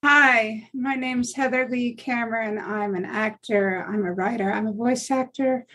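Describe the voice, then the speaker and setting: A woman with a fairly high voice gives a self-introduction as an actor. She lists things in short, plain statements. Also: fairly even intonation, measured pace, fairly bright tone, a little hiss or hum, rough recording. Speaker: actor and writer.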